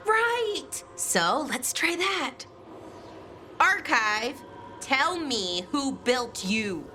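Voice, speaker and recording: An actress playing an earnest, curious young elven scholar in a video game, halting as she thinks aloud. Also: high-pitched, sing-song, sharp tone, a little hiss or hum, exaggerated voice. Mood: eager. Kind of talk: naturalistic video game acting. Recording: music behind the voice.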